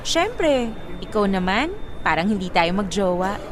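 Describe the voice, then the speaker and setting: An actress playing a devoted, generous young girlfriend in a Filipino radio drama, sweet, upbeat and expressive. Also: mid-range voice, a little slow, noisy background. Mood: playful.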